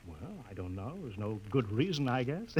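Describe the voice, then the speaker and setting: An actor playing a hearty, trusting spaceship captain back home, casual and boyish in a 1950s radio drama. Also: male voice, medium pitch, big pitch swings, moderate pace, a crowd in the background, lightly acted, poor audio. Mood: easygoing, hesitant. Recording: old radio recording with faint noise.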